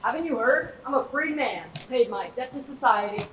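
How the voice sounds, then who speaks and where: A woman's voice: high-pitched, expressive, moderate pace. Teenage girl as a cocky thief, echoey stage. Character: smooth, cocky ex-convict thief in a comic heist play. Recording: echoey stage, distant microphone, noisy.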